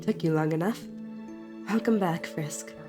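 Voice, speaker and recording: A calm, matter-of-fact companion from a fan game dub, put on by a woman in a clearly lowered voice. The delivery is slow and dry, with faint music behind it. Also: close to an everyday voice.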